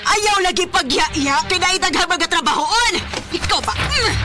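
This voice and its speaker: A woman's voice: very high-pitched, unhurried, over loud music. A woman playing a cruel, bullying aunt in a radio drama, barking scolding commands with a Visayan Filipino accent. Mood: angry scolding. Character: cruel, bullying aunt ordering her niece around.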